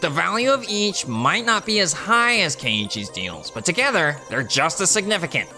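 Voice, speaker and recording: Man acting a cocky, whiny young hotshot salesman, sharp-voiced. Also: sits high, sing-song, normal speed, faint music behind, full character voice. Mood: pleading. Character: cocky, prideful young male salesman, quick to deny his faults. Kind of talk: anime-style dubbed dialogue.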